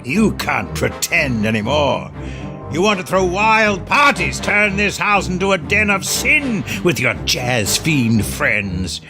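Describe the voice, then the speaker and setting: An actor playing an ill-tempered, sickly, domineering husband in an audio drama, spiteful and accusing. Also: male voice, on the high side, big pitch swings, takes it slowly. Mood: bitter, accusing. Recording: loud music behind the voice. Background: music.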